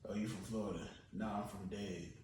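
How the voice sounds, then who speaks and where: A man's voice: fairly low pitch, fairly even intonation, brisk, darkish tone. A young man from Baltimore County, a podcast guest and DJ, talking in a laid-back casual way full of slang and fillers. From Baltimore County, Maryland. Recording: echoey room, mic at a distance, faint noise.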